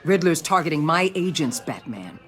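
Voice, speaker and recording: An actress playing a ruthless middle-aged federal agency boss in a video game, blunt and commanding. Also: female voice, low voice, big pitch swings, moderate pace, a bit bright. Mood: curt, urgent.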